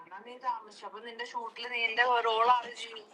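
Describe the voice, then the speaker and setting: A woman's voice: fairly high pitch, flat delivery, fast talker, crowd noise. A woman having a casual chat on a phone call. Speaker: friend called during an interview.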